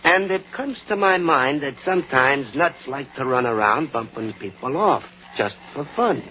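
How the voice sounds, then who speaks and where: A man playing a gruff, impatient American police lieutenant in a vintage radio detective show, talking in blunt slang. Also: mid-range voice, lively intonation, moderate pace, natural voice. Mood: blunt, suspicious. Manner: blunt, slangy tough-cop talk. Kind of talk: old-time radio detective acting. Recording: tinny, hissy vintage radio recording.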